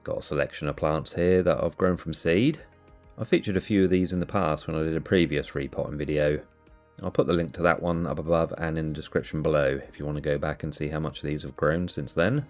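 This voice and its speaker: A man hosting a cactus channel, sounding nasal from allergies, calmly explaining as he narrates. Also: extremely low pitch, a little fast, soft background music. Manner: relaxed, explanatory. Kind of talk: casual voice-over narration.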